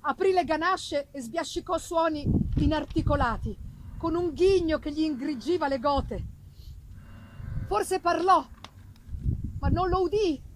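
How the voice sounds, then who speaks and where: A woman gives a dramatic, theatrical reading of a Gothic novel, with deliberate phrasing and strong emphasis. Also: high-pitched, noisy background. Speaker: reader performing a literary text.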